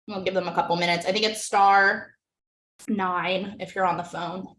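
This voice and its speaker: A woman staffing a commission meeting, giving brisk, practical instructions in casual phrasing. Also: medium pitch, steady tone, a bit bright. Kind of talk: meeting coordination.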